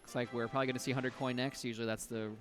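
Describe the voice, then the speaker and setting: A man doing live commentary on a speedrun, relaxed and chatty, explaining tricks and laughing often. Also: medium pitch, very fast. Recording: music playing behind.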